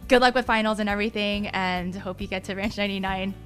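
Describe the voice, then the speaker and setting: A woman's voice: medium pitch, fairly even intonation, over music. A woman hosting a podcast, wrapping up an interview with friendly thanks and laughter. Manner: friendly, giggly, quick thanks. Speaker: podcast host. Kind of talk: casual interview.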